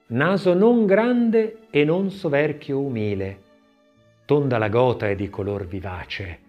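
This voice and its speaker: A man reading a scripted literary text as an audiobook narrator, expressive and measured, with deliberate phrasing. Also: medium pitch, lo-fi sound. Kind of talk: scripted audiobook narration of an art-history essay, with recited verse.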